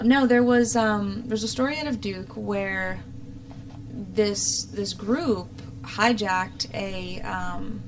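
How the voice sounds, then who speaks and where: A woman's voice: medium pitch, a bit bright. Young woman commentator, noticeably noisy recording. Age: young adult. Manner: exasperated, sarcastic. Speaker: talk show host. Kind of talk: opinionated casual political commentary.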